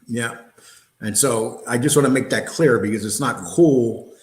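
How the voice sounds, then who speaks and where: A man's voice: mid-range voice, measured pace. Blunt male streamer in an echoey room. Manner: blunt, combative, swearing, streetwise talk. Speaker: show host. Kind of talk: casual livestream talk. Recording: roomy, echoey sound.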